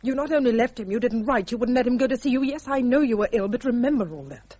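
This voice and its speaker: Husky, mellow, earnest woman. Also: fairly high pitch, lively intonation, quick pace, no room sound. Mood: pleading. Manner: earnest, gently reproving. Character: frank, pleading woman friend, quietly in love. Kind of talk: British radio drama acting. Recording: dull, lo-fi radio recording.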